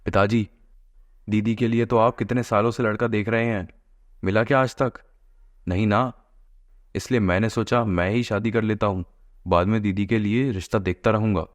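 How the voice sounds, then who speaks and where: A man's voice: lowish voice, steady tone, moderate pace, no echo, lightly acted. A man playing a young lover and headstrong younger brother in plain, earnest cartoon story dialogue. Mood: defiant.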